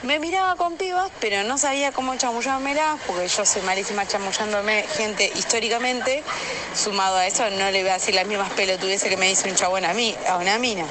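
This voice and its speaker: A woman's voice: mid-range voice, brisk. Frank Argentine woman in a slightly noisy voice message. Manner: frank, slangy. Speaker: listener sending an audio message. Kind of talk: casual personal anecdote. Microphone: phone voice message. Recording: voice message with faint background noise.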